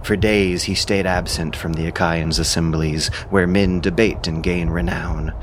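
A man giving scripted dramatic narration of an ancient epic, in measured, solemn storytelling style. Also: deep voice, fairly even intonation, slight background noise.

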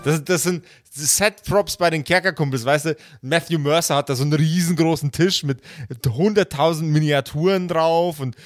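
A man's voice: fairly high pitch, moderate pace, hardly any pauses, dry recording, soft background music. A man narrating and bantering as game master of an improvised tabletop game.

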